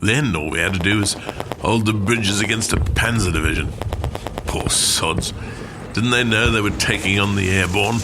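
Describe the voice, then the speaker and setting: A man's voice: on the low side, moderate pace, noisy background, close to an everyday voice. An actor playing a modest, wry British airborne veteran telling his war story in understated, clipped phrases for a radio play. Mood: wry, reflective. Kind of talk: dramatic monologue for a radio play.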